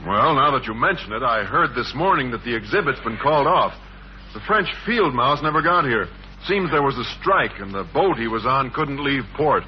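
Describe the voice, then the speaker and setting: Dull 1950s radio sound, man acting bashful American male teacher, baffled, halting, very animated intonation. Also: medium pitch, slight background noise, lightly acted. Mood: earnest. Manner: hesitant, literal, puzzled. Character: shy, earnest, baffled man biology teacher.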